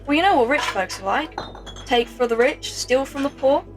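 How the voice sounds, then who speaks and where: A woman's voice: sits high, animated, normal speed, over music. A woman playing a feisty, sardonic working woman in a Victorian zombie audio drama. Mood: wry.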